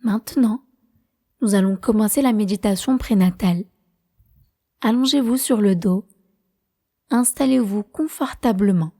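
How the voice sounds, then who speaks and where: A woman leading a guided prenatal meditation as a teacher, speaking in a calm, measured, soothing way. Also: medium pitch.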